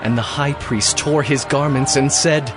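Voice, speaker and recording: A man's voice: medium pitch, steady tone, unhurried. A man giving solemn, scripted narration of scripture. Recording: loud dramatic music underneath.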